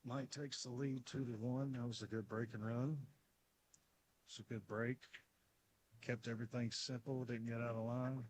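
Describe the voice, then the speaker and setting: A man co-commentating a live pool match stream in a bar, calm and plainspoken. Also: medium pitch, normal speed, a little hiss or hum, low-quality recording. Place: bar near a pool table.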